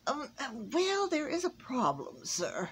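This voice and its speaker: A woman's voice: high-pitched, huge pitch swings, slow pace, slight background noise, pitched above the performer's own voice, full character voice. A woman voicing an anxious male elf foreman who stammers and pleads, in a storybook reading.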